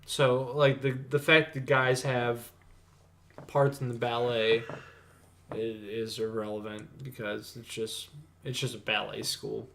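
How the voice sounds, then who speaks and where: A man's voice: mid-range voice, little pitch movement, takes it slowly, vocal fry, fairly bright tone. A man co-hosting a casual horror movie podcast, rambling with lots of fillers. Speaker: podcast co-host. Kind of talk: casual chat.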